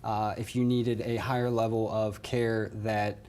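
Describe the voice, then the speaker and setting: A man's voice: fairly low pitch, level tone, measured pace, a little mellow. A courteous American man from West Virginia answering interview questions as a nurse manager. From West Virginia, United States. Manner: courteous, says yes ma'am.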